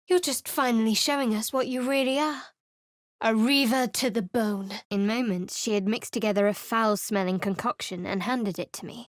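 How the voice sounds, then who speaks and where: A woman's voice: fairly high pitch, no room sound, natural voice. An actress voicing a guarded, sardonic young woman cleric with secrets, in studio game voice lines, with a dry, wry and cool way of speaking. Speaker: companion character in a video game.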